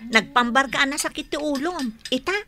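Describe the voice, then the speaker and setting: A woman's voice: sits high, normal speed, sharp tone. A woman with an Ilocano accent acting in a radio drama as a nagging, nosy, outspoken confidante. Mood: nosy, reproachful. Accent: Northern Philippine, Ilocano. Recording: music clearly behind the voice.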